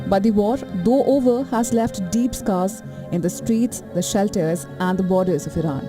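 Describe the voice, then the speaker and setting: A woman reporter narrates a news package with dramatic, emphatic phrasing. Her voice sits over a clear music bed. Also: mid-range voice, normal speed.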